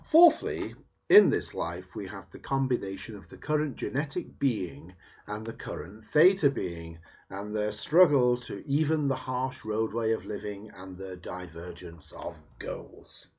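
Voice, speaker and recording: A man reading a book chapter aloud as scripted narration, with deliberate, emphatic phrasing and frequent pauses. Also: on the low side, expressive, dark tone, slightly rough. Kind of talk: scripted read-aloud narration, audiobook style. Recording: dull, muffled lo-fi recording.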